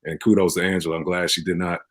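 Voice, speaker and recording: Male cast interviewee, deep voice, informal, halting delivery with repeated phrases. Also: flat delivery, fairly bright tone. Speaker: radio drama cast member being interviewed. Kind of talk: casual interview chat.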